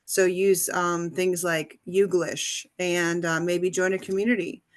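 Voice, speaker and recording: Woman teacher hosting an interview, lowish voice, lots of ums, calm and chatty. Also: unhurried. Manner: relaxed, explanatory, many ums. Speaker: English teacher, host. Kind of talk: casual interview chat.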